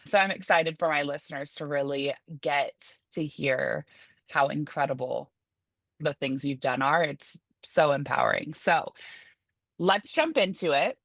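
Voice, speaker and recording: A woman's voice: deep voice, measured pace, a bit bright, no room sound. A woman hosting a podcast, who introduces her guest and asks questions with enthusiasm. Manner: enthusiastic, effusive. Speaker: podcast host. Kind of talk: podcast interview.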